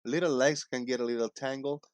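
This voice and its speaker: A man in his forties hosting a casual livestream, talking in a loud, joking, rambling way. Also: medium pitch, fairly even intonation, fairly quick, dry recording. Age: 40s. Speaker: livestream host.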